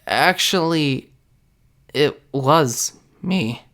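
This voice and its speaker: A man's voice: fairly high pitch, extremely slow, a bit bright. A man voicing an awkward, self-deprecating teenage boy with a sardonic, confessional way of talking, in a comic dub. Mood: nervous confession. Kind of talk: comic dub narration and dialogue. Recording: music under the voice.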